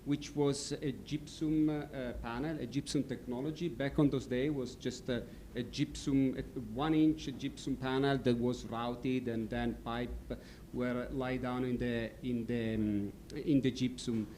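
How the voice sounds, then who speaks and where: A man with an Italian accent delivers a business presentation, halting often on fillers as he hunts for words. His voice is mellow and warm, set at an ordinary pitch. A faint low rumble sits behind him in the room. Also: steady tone. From Italy. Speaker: company founder presenting. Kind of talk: presentation talk. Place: stadium function room.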